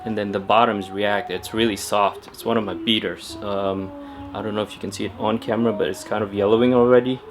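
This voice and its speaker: A man's voice: fairly low pitch, fairly even intonation, fairly quick, noisy background. A young man talking casually to camera at home about his sneakers, chatty and laid-back with lots of fillers. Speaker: sneaker vlogger. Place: at home indoors.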